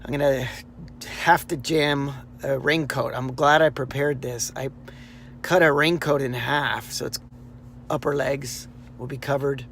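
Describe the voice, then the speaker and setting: A man's voice: medium pitch, steady tone, unhurried, creaky, a bit bright, a little hiss or hum. A man casually recapping a marathon for his vlog, chatty and rambling, with fillers and restarts. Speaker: runner vlogging a marathon. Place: outdoors and in a car.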